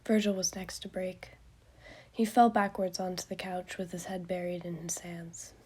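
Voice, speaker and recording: Husky woman reading a story. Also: lowish voice, flat delivery, moderate pace, a little mellow. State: getting over a cold. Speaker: podfic reader. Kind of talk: reading fan fiction aloud.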